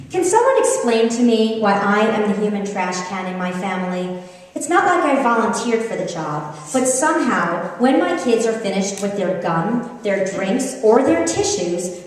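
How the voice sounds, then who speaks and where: The recording is very reverberant, with a long hall tail and the microphone set well away from the speaker. A middle-aged woman with an American accent gives a live reading as an author. Her delivery is conversational and expressive, in the style of humorous storytelling, at a medium pitch. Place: reading event in an echoey room. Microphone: distant microphone. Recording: very echoey room, distant microphone.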